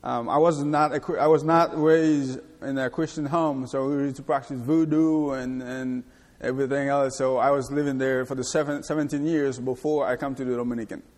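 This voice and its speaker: A man from Haiti, a guest in an interview, telling his life story in a halting way, with restarts and laughs. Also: medium pitch, steady tone, a little mellow. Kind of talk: personal testimony in a church interview. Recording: reverberant hall.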